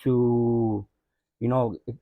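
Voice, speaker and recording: A man's voice: mid-range voice, little pitch movement, takes it slowly, narrow, tinny audio, a little hiss or hum. A man on a podcast, speaking earnestly and often saying "you know what I mean". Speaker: podcast guest. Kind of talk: casual chat.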